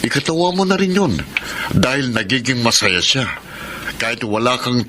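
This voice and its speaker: A man's voice: mid-range voice, very animated, moderate pace, pushed and bright, slight background noise. A man playing a patient, reasoning estranged husband and father in a radio drama. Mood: calm, reasoning. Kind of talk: Tagalog radio drama acting.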